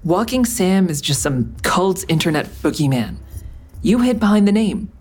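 A woman's voice: lowish voice, big pitch swings, normal speed, darkish tone, slightly rough. A woman playing a grim, confrontational investigator in an audio drama, questioning in a terse, dry, needling way. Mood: challenging. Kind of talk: audio drama acting with narration.

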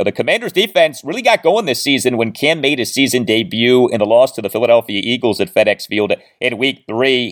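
A man hosting a sports podcast, delivering commentary and stat recaps in a measured, emphatic announcer-like way. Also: mid-range voice.

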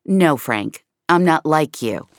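A woman's voice: sits low, expressive, takes it slowly, slight background noise, full character voice. A woman playing a tough, desperate agent on the run in an audio drama, urgent and defiant. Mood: cold, defiant. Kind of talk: dramatic audio fiction acting.

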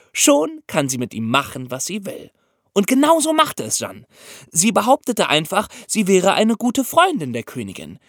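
Animated man telling history stories. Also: a little high, no echo, clean studio sound. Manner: conversational, expressive storyteller. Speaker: podcast host. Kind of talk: lively storytelling of history for young listeners.

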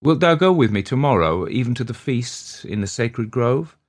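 A man narrator plays a coy young maiden from an old romance. Her archaic questions are startled and expressive, swooping up high from the narrator's usual pitch. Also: normal speed, close to an everyday voice. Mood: inviting.

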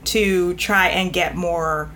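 A woman with a fairly low voice talks through a casual podcast conversation as a guest editor. Her manner is frank and talkative, with thoughts that trail off and restart. A faint hum of noise sits under the recording. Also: fairly even intonation, takes it slowly, fairly bright tone.

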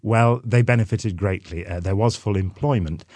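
Welsh man, guest expert, dry wit, explanatory, low pitch, dead-dry sound. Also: moderate pace, a little mellow, no echo. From North Wales. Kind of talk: radio interview.

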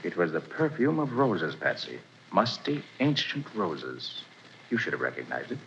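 A calm, commanding master detective with a low voice that rises and falls with emphasis. His tone is bright and deliberate. The sound is dull and faintly hissy, like an old radio show. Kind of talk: 1940s radio drama acting.

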